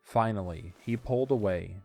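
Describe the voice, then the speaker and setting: A man's voice: fairly low pitch, moderate pace, vocal fry, a little mellow. A man reading scripted narration for an audio drama story. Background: faint music.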